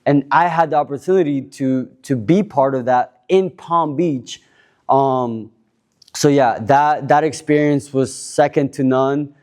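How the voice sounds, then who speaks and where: A man in his twenties from Cuba, introducing himself on a panel in a relaxed, rambling way with many fillers and restarts. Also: medium pitch, a little slow. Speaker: panel speaker. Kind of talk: casual self-introduction at a panel.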